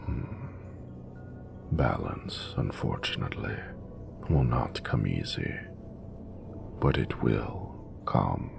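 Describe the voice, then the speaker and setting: A man voicing an ancient, regal dragon in a romantic audio roleplay, doting and flirtatious, with gravelly, breathy, often near-whispered, grandiose and formal speech. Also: a bass voice, lively intonation, over music, full character voice. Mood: solemn, reassuring. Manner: grandiose, formal, measured phrasing. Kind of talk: romantic audio roleplay, slow intimate monologue.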